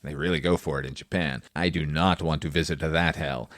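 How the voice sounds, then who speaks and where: A man with a very low-pitched voice presents a film review in a conversational but prepared style. His delivery is wry and opinionated, with emphatic little asides. The sound is dry and close, free of room reflections. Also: fairly even intonation, a little fast, fairly bright tone, no echo. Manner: casual swearing. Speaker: film review podcast host.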